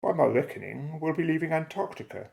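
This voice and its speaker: A man playing an unflappable, methodical explorer and airship pilot in an audio drama, speaking in a measured, old-fashioned, explanatory way. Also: fairly high pitch, animated, gravelly, darkish tone. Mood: thoughtful.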